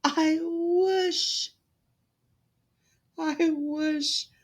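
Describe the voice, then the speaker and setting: A woman puts on a gentle young servant girl from a storybook. The voice is lifted high and thin with timidity. She speaks haltingly and plaintively, meek in every phrase. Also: fairly even intonation. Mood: tearful.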